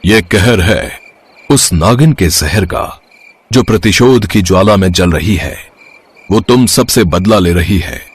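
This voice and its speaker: A man voicing a grave, calm old village sage who speaks slowly and solemnly in an animated moral story. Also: low-pitched, slightly rough, heavily acted. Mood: grave, ominous. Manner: slow, solemn, drawn-out delivery.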